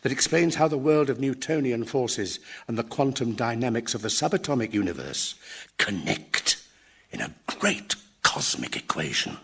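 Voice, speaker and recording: An earnest, excitable academic in a British radio sitcom. His voice is husky and swings widely in pitch. He is pedantic, touchy and quickly flustered. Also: full character voice. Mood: indignant, earnest. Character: earnest, excitable, touchy dead physics professor.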